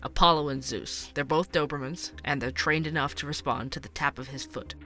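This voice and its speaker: Male true-crime podcaster: higher-pitched, bright, wry and candid, conversational, faint music underneath. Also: brisk. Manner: conversational, wry, hesitant asides. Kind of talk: fictional podcast narration and dialogue.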